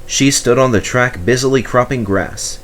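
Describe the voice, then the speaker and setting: A man's voice: medium pitch, normal speed, a bit bright, a little hiss or hum. A man narrating a children's storybook expressively as its reader.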